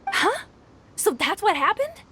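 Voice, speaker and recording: A woman playing a brisk, fair-minded young tournament official in an anime game, polite and articulate. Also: very high-pitched, sing-song, pushed and bright, a little hiss or hum, full character voice. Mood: surprised. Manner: polite, matter-of-fact, articulate.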